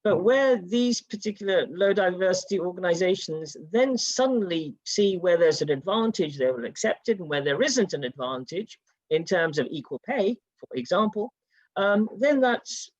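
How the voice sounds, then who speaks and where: A British-born panelist telling a personal story in a panel discussion and acting out the quoted exchanges. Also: male voice, high-pitched, animated, moderate pace, dry recording. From United Kingdom. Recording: dull, dry sound.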